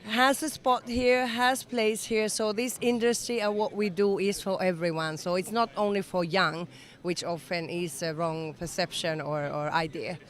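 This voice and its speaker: A woman's voice: medium pitch, fairly even intonation, normal speed. Enthusiastic Finnish woman in her sixties. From Finland. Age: 60s. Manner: enthusiastic, earnest, slightly non-native phrasing. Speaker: interview guest. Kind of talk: conversational interview. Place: event summit venue.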